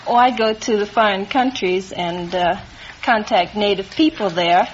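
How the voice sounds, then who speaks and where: A woman's voice: mid-range voice, measured pace. A woman speaking conversationally as a contestant on a classic radio show, heard on an old recording. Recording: dull vintage radio recording, faint noise.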